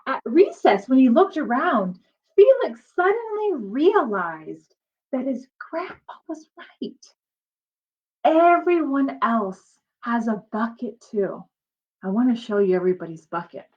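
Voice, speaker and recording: A woman reading a children's storybook aloud in an expressive way, drawing out her emphasis and pausing to explain things to young listeners, like a teacher. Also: on the high side. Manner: animated, drawn-out emphasis, explains to children as she goes. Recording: dull lo-fi sound.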